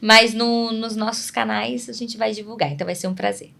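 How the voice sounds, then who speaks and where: A woman guest on a podcast, speaking in a halting way with many restarts. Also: fairly high pitch, steady tone, creaky, fairly bright tone. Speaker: guest, breastfeeding mother. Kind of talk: casual podcast conversation.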